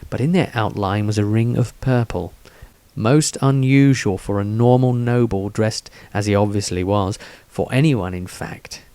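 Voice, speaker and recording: A man's voice: fairly low pitch, a little mellow. A man reading scripted narration of a fantasy story as its narrator. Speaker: author narrator.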